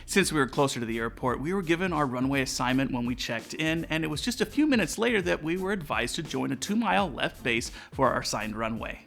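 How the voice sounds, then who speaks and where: Lively man presenting a tutorial. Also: fairly high pitch, quick pace, vocal fry, few pauses. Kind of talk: scripted explainer narration. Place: small indoor studio set. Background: background music.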